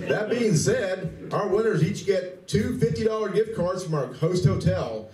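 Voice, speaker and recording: A man's voice: high voice, expressive, measured pace, crowd noise. A man playing a cheerful game coordinator in a radio play, announcing and giving play-by-play. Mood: amused, bemused. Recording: distant, echoey room with faint noise.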